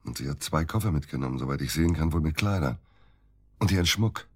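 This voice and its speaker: An actor playing a disgruntled middle-aged provincial surgeon, grumbling and curt, in a naturalistic radio drama. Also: male voice, a bass voice, lively intonation, fast talker, breathy edge, no echo, exaggerated voice. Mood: worried. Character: disgruntled middle-aged provincial surgeon, worried about his missing wife.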